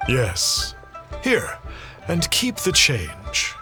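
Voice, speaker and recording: A man's voice: mid-range voice, wildly swooping pitch, drawn-out words, pushed and bright, faint music behind, heavily acted. A man voicing an aloof young male guardian who speaks curtly and coldly. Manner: curt, cold.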